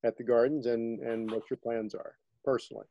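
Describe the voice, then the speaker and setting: A man moderating a video call, asking questions casually and a little haltingly. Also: medium pitch, flat delivery, warm tone, no room sound, highs cut off.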